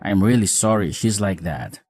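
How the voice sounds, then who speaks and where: A man acting as a newlywed husband in an audio story drama, with a widely swinging, animated pitch. He sounds earnest, apologetic and weary yet caring, with music underneath. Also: moderate pace.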